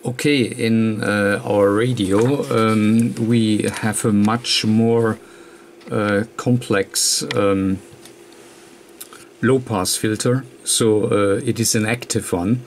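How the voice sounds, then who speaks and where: A man presenting an electronics tutorial, explaining off the cuff in a halting, hesitant way with frequent pauses mid-sentence. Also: fairly low pitch, fairly even intonation, a little hiss or hum. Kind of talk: unscripted technical explanation. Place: indoor workbench, hands and papers filmed.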